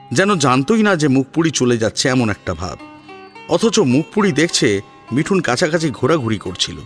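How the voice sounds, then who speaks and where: Expressive man narrating a story. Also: medium pitch. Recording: soft music under narration. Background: sitar music.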